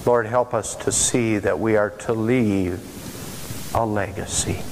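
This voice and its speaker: An older man preaching a sermon as pastor, earnest and emphatic, with drawn-out pauses, standing in a large church sanctuary. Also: fairly low pitch, fairly even intonation. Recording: long church reverb, faint hiss.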